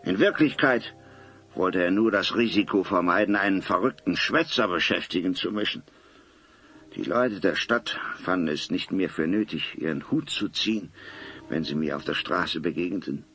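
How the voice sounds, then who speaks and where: A man's voice: low-pitched, normal speed, a bit bright. A man acting an embittered, vengeful old craftsman in an audio drama, with formal, old-fashioned wording and a theatrical delivery. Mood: bitter, wounded. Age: older. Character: embittered old stonemason, vengeful outcast grandfather.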